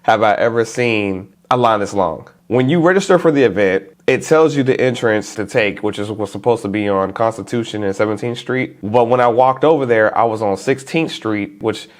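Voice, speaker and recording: A man's voice: fairly low pitch, moderate pace, hardly any pauses. Stuffy-nosed man chatting to camera. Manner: relaxed, chatty, informal slang. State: stuffy nose. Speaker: vlogger. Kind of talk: casual talk to camera.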